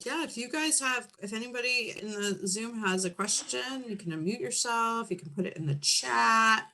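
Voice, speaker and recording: A woman's voice: a little high, lively intonation, normal speed, creaky, pushed and bright. A woman moderating a panel discussion in an informal, chatty way. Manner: informal, conversational, frequent "you know" and "right".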